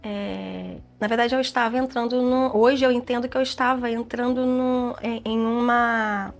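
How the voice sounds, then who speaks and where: A woman's voice: fairly high pitch, steady tone, moderate pace, vocal fry. A woman in her forties with a Brazilian Portuguese accent, a gospel singer sharing her testimony in an earnest, reflective way. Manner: soft-spoken, earnest, reflective. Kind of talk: personal testimony in an interview.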